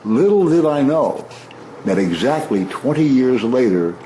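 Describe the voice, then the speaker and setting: A man from the Washington DC area in his sixties, a veteran radio broadcaster telling stories in a relaxed interview, often restarting his sentences. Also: medium pitch, unhurried, slight background noise, poor audio. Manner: warm reminiscing, frequent restarts and soft laughs.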